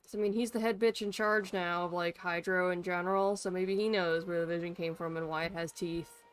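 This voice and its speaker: A woman's voice: on the low side, steady tone, fairly quick, clear, resonant tone. A woman live streaming a video game with casual, blunt, sweary, informal commentary.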